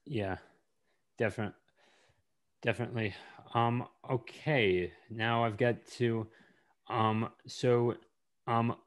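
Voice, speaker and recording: A man hosting a podcast interview in a casual, halting way, often repeating and stumbling over his words. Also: mid-range voice, fairly even intonation. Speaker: podcast host.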